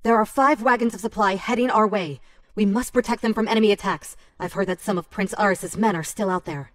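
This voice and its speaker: A woman's voice: medium pitch, brisk, no echo, close to an everyday voice. An actress playing a serious, skeptical noblewoman and battle advisor in a medieval fantasy game, firm and matter-of-fact. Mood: serious, alert.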